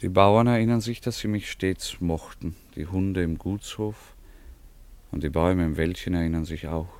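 A man's voice: deep voice, steady tone, normal speed, darkish tone, close to an everyday voice. An actor playing an ardent, melancholy young nobleman, speaking earnestly and lyrically in a radio play. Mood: tender, melancholy. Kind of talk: classic radio play acting.

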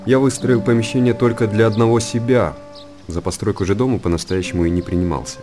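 An actor playing an aging, world-weary hermit monk in a radio monologue, speaking in a measured, pensive and solemn way. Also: male voice, fairly low pitch, fairly even intonation, music in the background, close to an everyday voice. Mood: wistful.

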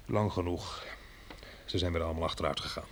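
A man's voice: low voice, steady tone, brisk, fairly bright tone, a little hiss or hum. Een man die in een hoorspel een vermoeide, prikkelbare overlever op een belegerde boerderij speelt, met een rauwe, hese stem. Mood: stern, irritable. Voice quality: gravelly, husky. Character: weary, irritable male survivor running a besieged farmstead. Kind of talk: radio drama acting with diary narration.

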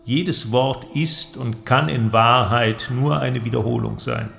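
An actor playing an eloquent, cynical inventor who argues in a declamatory, creaky voice in a literary audio drama. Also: male voice, mid-range voice, steady tone, slow, a bit bright, faint music behind, full character voice. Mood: persuasive, mocking. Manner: declamatory, argumentative, rhetorical. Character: male inventor, cynical, eloquent philosophical persuader.

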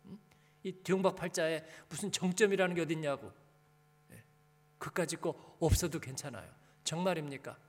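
A man's voice: fairly high pitch, moderate pace. A male pastor preaching a sermon in a conversational way, putting rhetorical questions and short prompts to his listeners. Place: large reverberant church hall. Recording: echoey hall with long reverb.

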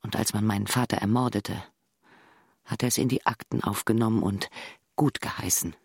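An actor voicing a bitter, haunted inner monologue of accusation in a radio drama, measured and incantatory. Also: male voice, on the low side, fairly even intonation, breathy edge, no echo, studio quality.

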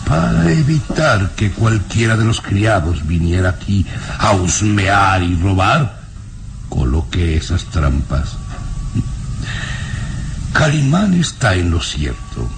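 Deep, haughty, menacing nobleman. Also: sing-song, vocal fry, slight background noise, full character voice. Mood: indignant. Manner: haughty, menacing, drawn-out deliberate delivery. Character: proud, sinister nobleman, a menacing widowed father. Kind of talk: Spanish-language radio serial acting.

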